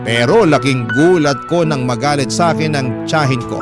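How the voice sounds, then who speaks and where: A man's voice: a little high, normal speed. A man reading a scripted first-person story as a radio drama narrator. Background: music under the voice.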